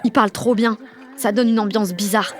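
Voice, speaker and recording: A woman's voice: medium pitch, fairly even intonation, brisk, soft background music, natural voice. An actress playing a blunt, fiery sixteen-year-old French girl who narrates an audio drama in sardonic slang. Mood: wry. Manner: colloquial, heavily slangy, sardonic.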